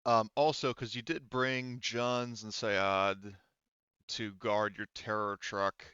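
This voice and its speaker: Low, smooth-voiced man running a tabletop game. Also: flat delivery, pushed and bright, no room sound, dull audio. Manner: halting, thinks aloud, often rephrases mid-sentence. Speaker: game master. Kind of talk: narrating a tabletop roleplaying game, partly read from a module.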